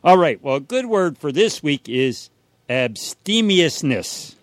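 Folksy guy on radio, kinda high voice, hesitant joking banter, very dry sound. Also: sing-song, slow, no echo. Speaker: radio show co-host.

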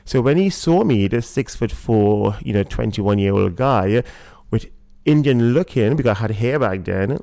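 A man from Trinidad with a Trinidadian accent, a guest talking in a relaxed interview and often pausing with fillers and restarts. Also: lowish voice, creaky, no echo, studio quality. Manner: hesitant, many fillers and restarts. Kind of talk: casual interview talk.

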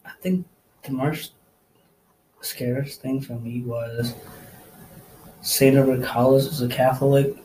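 A man's voice: mid-range voice, little pitch movement, takes it slowly, pauses often, warm tone, poor audio. Interviewee: male college student, Nepali native speaker, hesitant and thoughtful, faint background noise. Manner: hesitant, many fillers, thoughtful. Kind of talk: casual interview answers.

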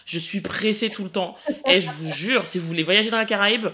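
A young woman from the Paris region in casual podcast conversation, emphatic and quick, often laughing. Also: medium pitch, bright, forceful tone. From Paris region, France.

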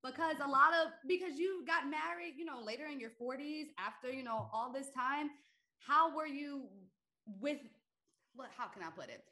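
Bright-toned woman podcast host. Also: sits high, expressive, moderate pace. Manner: warm, encouraging interviewer. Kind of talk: podcast interview.

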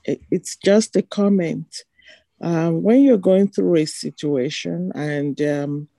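Slow, hoarse, gravelly woman. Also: fairly low pitch, dark tone, no echo. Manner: slow, halting, reflective. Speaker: congregation member. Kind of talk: spoken comment during online service. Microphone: video call.

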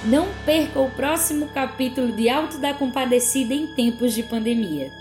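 A woman's voice: high voice, moderate pace, close to an everyday voice. A woman acting as the narrator of a radio soap opera, telling the story in a theatrical, suspenseful way. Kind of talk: scripted radio drama narration. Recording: loud music and crowd noise behind.

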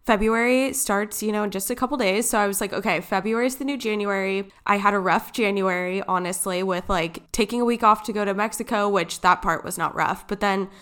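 A woman in her late twenties co-hosting a podcast, chatting in a relaxed and supportive way. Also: mid-range voice, a little fast, few pauses, smooth. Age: 20s. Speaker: podcast co-host. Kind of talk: casual chat.

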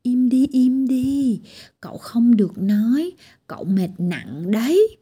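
A woman voicing a gentle but bossy blue-eyed tiny girl who fusses over a patient in a children's storybook. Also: on the high side, big pitch swings, takes it slowly, husky, heavily acted. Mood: caring. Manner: scolding yet caring.

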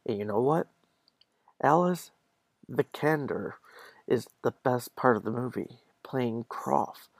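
Male podcast host, gravelly mid-range voice, close dry sound, reading an article aloud and stumbling now and then. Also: medium pitch, animated, slow pace, long pauses, no echo.

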